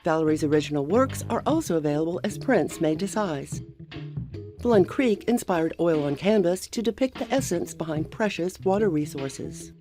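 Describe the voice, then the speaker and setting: A woman narrating a garden program, with an even and polished scripted delivery. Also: lowish voice, moderate pace, music in the background. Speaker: show narrator.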